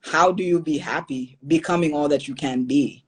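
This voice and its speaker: A woman's voice: low voice, normal speed, breathy edge. A young adult woman from Haiti, a podcast guest, talking in an earnest, reflective way and laughing easily. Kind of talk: casual interview talk.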